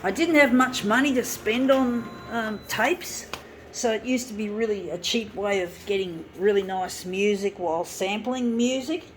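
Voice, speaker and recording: A woman's voice: medium pitch, slight background noise. A middle-aged woman reminiscing casually about mixtapes and zine culture, rambling with fillers and restarts. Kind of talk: casual reminiscing while working.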